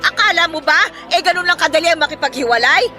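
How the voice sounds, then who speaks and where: A woman playing a bitter, sharp-tongued betrayed older wife in a Filipino radio drama, scornful, taunting and emphatic. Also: very high-pitched, fast talker, soft background music.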